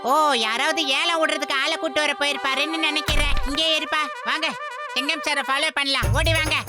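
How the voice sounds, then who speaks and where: An actor voicing a fussy, quick-witted male cartoon sidekick with an exclamatory, theatrical delivery. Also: high voice, little pitch movement, natural voice. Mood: admiring, brisk. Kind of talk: animated cartoon dub voice acting. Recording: loud music under the voice.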